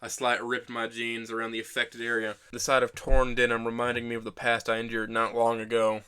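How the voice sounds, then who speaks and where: A man voicing an injured, self-deprecating teenage boy who narrates his own story in a measured, wry, deadpan way for an audio drama. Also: mid-range voice, clean tone, lightly acted. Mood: descriptive. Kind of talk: first-person scripted narration.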